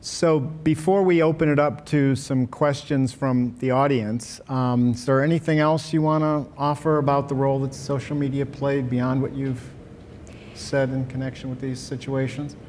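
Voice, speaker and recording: A man moderating a public panel in an auditorium who also speaks for a rehabilitation provider, measured and full of fillers. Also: medium pitch, fairly even intonation, darkish tone, slight background noise. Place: auditorium stage.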